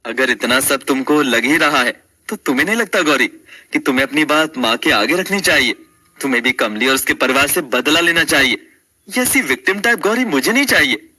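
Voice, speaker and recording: A man playing a young husband in a family story, caring yet exasperated, emphatic and dramatic, with a husky voice. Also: on the high side, animated, brisk, pushed and bright, heavily acted, low-quality recording. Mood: urging, supportive.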